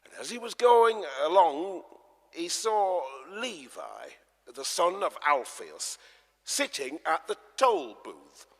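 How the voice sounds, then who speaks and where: A man narrating scripture dramatically, like a theatrical storyteller, with emphatic swells and hushes. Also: high voice, very animated, slow pace. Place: large church, live performance. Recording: echoey church, long reverb.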